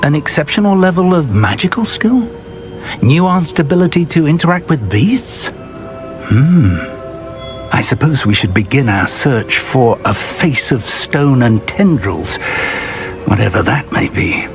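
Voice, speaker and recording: An actor playing an earnest middle-aged wizard professor and mentor in a video game, speaking in a deliberate, articulate, scholarly way. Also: male voice, mid-range voice, very animated. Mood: musing, confiding. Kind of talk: dramatic video game voice acting. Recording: music playing behind the voice. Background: game music.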